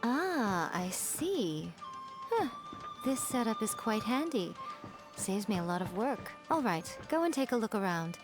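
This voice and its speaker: A woman's voice: mid-range voice, sing-song, music in the background, rough recording. A woman playing a sly, self-assured shrine maiden in a video game, poised and teasing in manner. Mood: composed. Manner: teasing, poised, unhurried explaining. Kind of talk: video game character acting.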